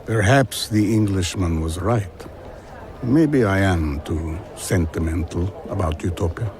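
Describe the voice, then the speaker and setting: An actor playing a haunted, wistful older former traveller, speaking in a husky voice and reflective, earnest manner in an audio drama. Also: male voice, deep voice, unhurried, a little mellow, a little hiss or hum. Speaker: narrator and central character. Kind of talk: audio drama narration and dialogue.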